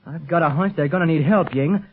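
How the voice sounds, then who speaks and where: A man's voice: a little high, fairly quick, slight background noise, close to an everyday voice. An actor playing an eager, earnest boy agent who talks in youthful slang in a 1930s radio serial. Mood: eager, urgent. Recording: tinny 1930s radio recording.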